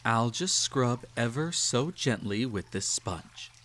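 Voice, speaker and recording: Man acting slow, haughty, cruel emperor. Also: mid-range voice, animated, background noise. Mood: indulgent, teasing. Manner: haughty, condescending, drawn-out teasing delivery with soft chuckles. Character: cruel possessive emperor, condescending, silkily indulgent, menacing. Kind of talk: roleplay audio acting, intimate second-person monologue.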